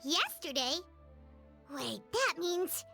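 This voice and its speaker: A tiny childlike fairy companion from a video game. The voice is extremely high and squeaky, with very lively swings in pitch and a bright edge. It comes across chirpy and earnest. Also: female voice, slow, gravelly, faint music behind, exaggerated voice. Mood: surprised.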